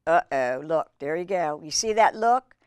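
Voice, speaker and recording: A woman hosting a children's storytime, reading aloud with drawn-out emphasis and chatting in asides to young listeners. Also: low voice, expressive, darkish tone, smooth, dry recording. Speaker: host reading picture books to children.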